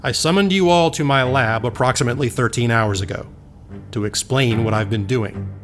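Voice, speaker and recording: An actor playing an earnest, commanding male scientist in an audio drama, speaking in a deliberate, explanatory way. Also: mid-range voice, faint music behind, natural voice. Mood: stern.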